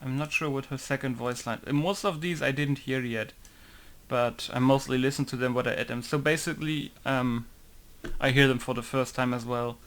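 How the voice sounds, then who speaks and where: A man's voice: medium pitch, steady tone, normal speed, a bit bright. Male modder narrating a casual tutorial, mid-range voice, rambling, thinks aloud, leans on filler words. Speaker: game modder walking through a tutorial. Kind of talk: casual screen-recorded tutorial.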